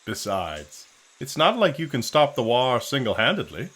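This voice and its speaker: An actor playing a genteel, worldly-wise officer and loyal friend in an audio drama, measured and philosophical. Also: male voice, mid-range voice, big pitch swings, a bit bright, breathy edge. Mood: admiring, reassuring.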